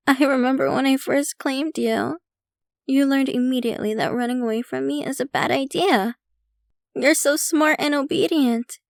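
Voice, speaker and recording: Woman as possessive ancient vampire girlfriend, ASMR roleplay, very slow delivery, sweetly menacing, intimate close mic, dry sound. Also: high voice, vocal fry, dry recording, exaggerated voice. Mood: doting, playful. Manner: unhurried, doting yet possessive, casually sinister. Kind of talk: ASMR roleplay, intimate scripted monologue to the listener.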